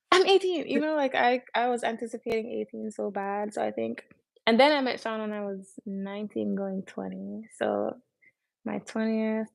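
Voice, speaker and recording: A young woman in casual conversation as a podcast co-host, musing in a low-key way. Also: vocal fry. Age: young adult. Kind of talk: casual chat.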